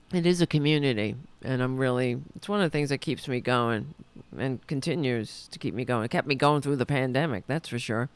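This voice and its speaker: A woman hosting her own liberal talk show, chatting loosely and correcting herself as she goes, a little hoarse. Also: sits low. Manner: rambling, self-correcting, chatty. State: losing her voice. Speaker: podcast host. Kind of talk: casual political talk show.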